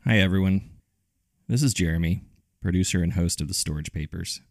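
A man's voice: deep voice, brisk, darkish tone, no room sound, studio quality. A man hosting a podcast, reading scripted narration straight to the listener. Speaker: podcast producer and host.

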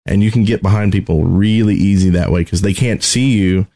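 Man, lowish pitch, podcast co-host, casual conversational game talk. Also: a little fast, dark tone.

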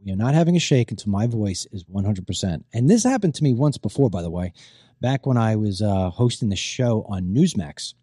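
A man's voice: lowish voice, animated, fairly quick, mellow, slightly rough, no room sound, studio quality. A middle-aged man hosting a casual talk show, chatty and rambling, his voice strained as it recovers from being lost. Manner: chatty, rambling, repeats himself. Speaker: host.

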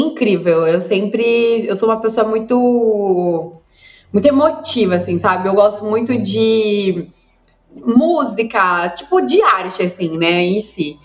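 A young woman, an esports caster, chatting emotively with lots of fillers in a casual video call interview, on an echoey, dull lo-fi recording. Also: mid-range voice.

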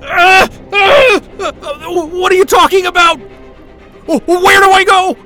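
A man's voice: very high voice, takes it slowly, loud music behind the voice. An actor playing a bewildered, angry, foul-mouthed male astrophysicist who stammers his way through a science-fiction audio drama. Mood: panicked. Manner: gasping, stammering, profane.

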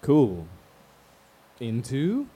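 Slow, terse radio host. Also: male voice, huge pitch swings, dark tone, slightly rough, heavily acted. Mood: guarded flirting. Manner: terse, low-key, clipped replies. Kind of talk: radio play acting. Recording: some background noise.

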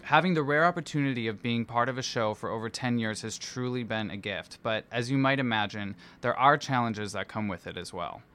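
A man's voice: mid-range voice, fairly quick, hardly any pauses, sharp tone, soft background music. A man reading his personal essay aloud in a theatrical, playful, self-deprecating manner. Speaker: musical theatre performer and dance captain.

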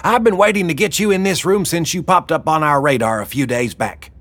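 A man's voice: on the high side, brisk, fairly bright tone, no echo, pitched above the performer's own voice. A man voicing a brash, coarse, very large older woman ringleader in an audiobook, teasing and folksy. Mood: brash, commanding.

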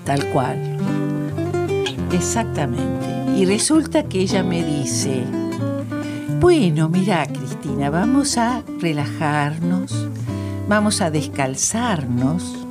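A woman's voice: on the low side, sing-song, slow pace, over loud music. A woman, a guest telling a personal story in an interview. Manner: reflective storytelling. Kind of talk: interview conversation.

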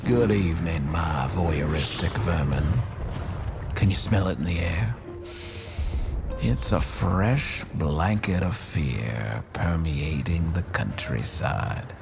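A man's voice: low-pitched, slow pace, creaky, heavily acted. An actor playing a sinister, gleeful otherworldly horror host, pompous and theatrical, savouring each phrase as he narrates with menace. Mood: gloating menace. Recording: loud music behind the voice.

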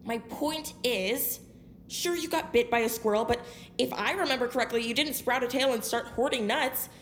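A woman's voice: a little high, normal speed, a bit bright, close to an everyday voice. A woman playing a blunt, argumentative young friend in an audio drama, speaking with sardonic emphasis. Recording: faint background noise.

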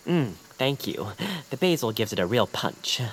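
A woman's voice: very deep voice, sing-song, moderate pace, a little hiss or hum, poor audio. A woman acting the part of a timid, polite, fretful friend with hesitant, genteel, old-fashioned phrasing in an audio drama. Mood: polite, gentle. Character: timid, fretful, polite friend named Noah, afraid of heights. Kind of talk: audio fiction acting in a quaint old-radio manner.

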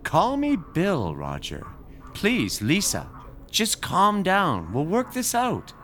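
An actor playing a placating, dismissive real estate agent in a radio drama. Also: male voice, high-pitched, huge pitch swings, takes it slowly, fairly bright tone, exaggerated voice.

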